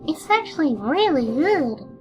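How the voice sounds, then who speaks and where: Young cartoon filly: very high, slow delivery, childlike and morbidly curious. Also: female voice, sing-song, faint music behind. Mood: curious, matter-of-fact. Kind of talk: amateur cartoon fan audio drama.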